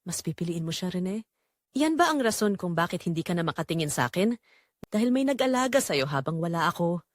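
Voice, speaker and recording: A woman playing a hurt, restrained wife in an audio drama, quiet and reproachful. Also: fairly low pitch, big pitch swings, fairly quick, no echo, studio quality. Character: hurt, restrained wife confronting her husband and caregiver.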